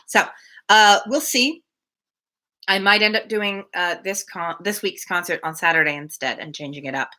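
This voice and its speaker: A woman's voice: lowish voice, normal speed, pushed and bright. A woman hosting a live novel reading, speaking informally and thinking aloud with casual asides. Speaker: livestream reader and host.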